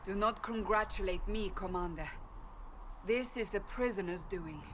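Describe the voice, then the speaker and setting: A woman's voice: mid-range voice, normal speed, a little hiss or hum, close to an everyday voice. An actress playing a stern, blunt woman warrior in a fantasy video game, giving clipped commands. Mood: commanding. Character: stern, forceful woman warrior and holy seeker. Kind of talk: dramatic video game acting.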